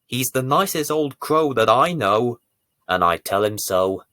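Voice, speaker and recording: A man reading a bedtime story to young children as its presenter, with deliberate, theatrical emphasis. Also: mid-range voice, lively intonation, no room sound. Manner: deliberate, theatrical emphasis with dramatic swells and hushes.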